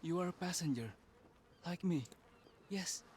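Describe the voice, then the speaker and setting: Slow-spoken dying shipwrecked sailor. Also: male voice, high-pitched, animated, a little mellow, slight background noise. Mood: bitter, weak. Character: dying shipwrecked sailor, weary and resigned to death. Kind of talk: video game voice acting.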